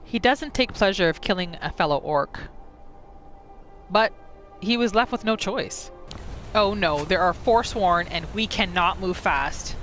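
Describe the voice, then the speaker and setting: Female gaming host, mid-range and bright, casual third-person roleplay narration, quiet music bed beneath. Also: medium pitch, expressive, a little slow.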